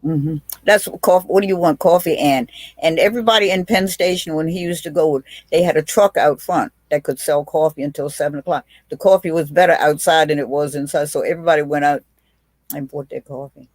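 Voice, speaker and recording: A woman's voice: low-pitched, fairly quick, a little mellow, no room sound. A woman, a witty, blunt guest telling stories in a casual video chat, often restarting her sentences. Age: 80s. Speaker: guest, aunt.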